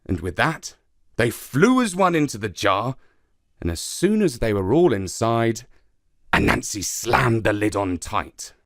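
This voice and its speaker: A man's voice: mid-range voice, sing-song, measured pace, a bit bright. A man narrating a scripted folktale for children as a storyteller.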